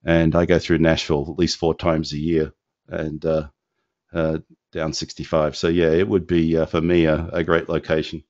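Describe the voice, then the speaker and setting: A man's voice: extremely low pitch, level tone, normal speed, a little mellow, no room sound. A man hosting a live business question-and-answer call, answering loosely with many fillers and restarts. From not from Indiana. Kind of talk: live Q&A webinar, casual. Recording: dull lo-fi dry audio.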